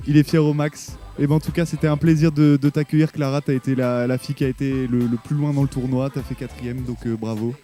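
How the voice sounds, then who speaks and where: A man's voice: mid-range voice, little pitch movement, fast, few pauses, warm tone, soft background music. A man hosting casual post-game interviews in an encouraging, congratulatory manner. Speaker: interviewer, show host.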